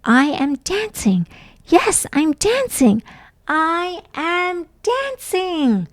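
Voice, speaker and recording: A woman voicing a clumsy, gentle male giraffe in a children's storybook, speaking earnestly. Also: extremely high pitch, very animated, very slow, no room sound, pitched above the performer's own voice, heavily acted. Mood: joyful.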